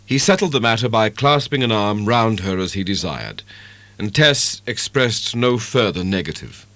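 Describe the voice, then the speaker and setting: Male narrator, measured literary storytelling, very lively intonation, close dry sound. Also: lowish voice, dry recording. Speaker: audiobook narrator. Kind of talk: dramatic scripted narration of a classic novel.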